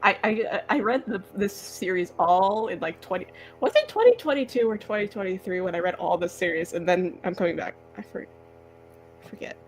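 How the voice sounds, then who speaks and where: A woman's voice: mid-range voice, big pitch swings, moderate pace, slight background noise, rough recording. A woman co-hosting a casual book discussion livestream in a self-deprecating, conversational way. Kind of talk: casual chat about books.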